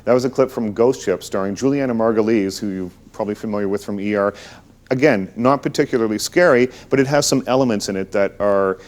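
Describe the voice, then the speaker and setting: A man's voice: fairly low pitch, quick pace, a little mellow, a little hiss or hum. A man hosting a talk show, chatting casually about films and repeating words as he thinks. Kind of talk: casual film chat.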